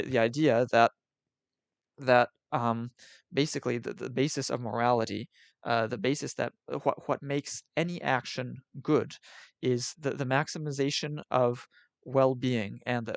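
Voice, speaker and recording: A man from Switzerland who sounds partly American, hosting a casual call-in debate, talking haltingly with many repeated words and frequent laughs. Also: medium pitch, vocal fry, dry recording. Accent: American-sounding with slight European tinge.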